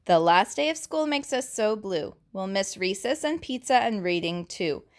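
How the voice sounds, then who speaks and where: A woman's voice: medium pitch, expressive, moderate pace, lightly acted. A woman voicing earnest young schoolchildren from a picture book, with a simple, lifted delivery. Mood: fond, singsong.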